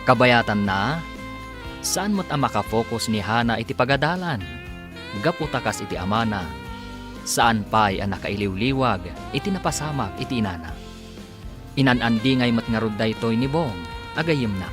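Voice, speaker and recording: A man's voice: mid-range voice, lively intonation, normal speed, long pauses. A man narrating a radio drama as announcer in a theatrical, emphatic scripted style. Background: music.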